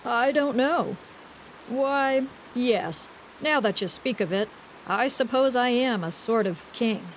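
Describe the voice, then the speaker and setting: A woman's voice: on the high side, slow pace, a little hiss or hum, voice pushed up, poor audio. A woman voicing a good-humored young railroad magnate in a storybook, speaking genially with mild amusement. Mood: amused. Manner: genial, amused, a bit vague. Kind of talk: audiobook reading.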